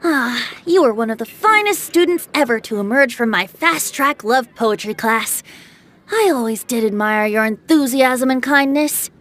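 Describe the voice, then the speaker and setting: A woman's voice: on the high side, expressive, normal speed, fairly bright tone. An actress playing a playful, carefree young male bard in a video game, with a theatrical, lilting, breezy delivery. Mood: grateful, jaunty.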